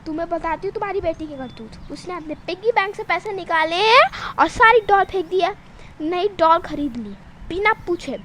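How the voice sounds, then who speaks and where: Very high-pitched voice, furious mother, shouting and scolding, woman doing it. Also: expressive, moderate pace, slight background noise. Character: strict angry mother scolding her daughters.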